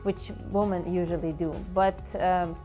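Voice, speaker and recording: A woman's voice: fairly low pitch, flat delivery, unhurried, dark tone, soft background music. Young woman, earnest. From Ukraine. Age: late 20s. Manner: earnest and direct, with fillers. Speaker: interview guest.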